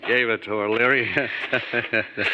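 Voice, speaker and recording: An actor playing an evasive, falsely casual neighbor hiding a crime in a 1950s radio drama. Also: male voice, mid-range voice, fairly even intonation, slow pace, dull audio, lightly acted. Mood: falsely genial. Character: slippery neighbor killer, falsely genial and defensive. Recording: lightly noisy old radio transcription.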